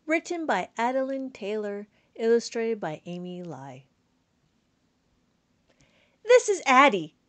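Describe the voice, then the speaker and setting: A middle-aged woman reading a children's counting book aloud as a teacher, animated and sing-song, drawing out words for young children. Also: on the high side, slow, pauses often. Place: small indoor room at home.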